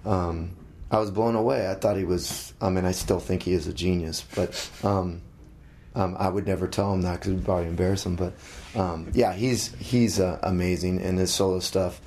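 A middle-aged musician being interviewed, talking casually with many halting restarts. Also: male voice, sits low, fairly even intonation, a little mellow, a little hiss or hum.

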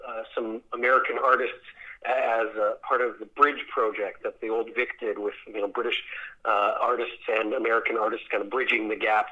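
A man answering interview questions as a theater director, explaining in a conversational, halting way. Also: fairly low pitch, level tone, sharp tone, husky, muffled recording. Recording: band-limited phone or radio-like line.

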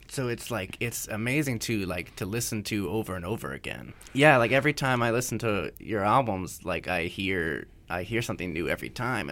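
A man's voice: on the low side, fairly bright tone. A man interviewing a musician, eager and casual, with many fillers. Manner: enthusiastic, filler-heavy.

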